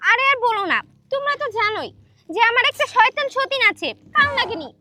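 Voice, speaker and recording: A woman's voice: very high voice, sing-song, brisk. A woman playing a plaintive, lovelorn serpent wife in an amateur comedy fairy-tale drama, calling out and complaining. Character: lovelorn serpent wife pining for her snake king, complaining of co-wife. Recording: very noisy outdoor location sound.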